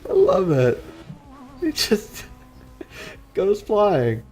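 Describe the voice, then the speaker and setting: A man commentates casually on a video game, chatting as he plays. His pitch moves around a lot and he talks at a slightly unhurried pace, with faint music behind him. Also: huge pitch swings, darkish tone. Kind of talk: casual gameplay commentary chat.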